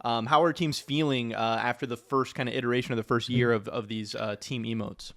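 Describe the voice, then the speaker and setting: A man's voice: medium pitch, fairly even intonation, brisk, a bit bright. A man co-hosting a casual esports podcast, chatty and loose, leaning on fillers like "you know" and "like". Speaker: podcast co-host.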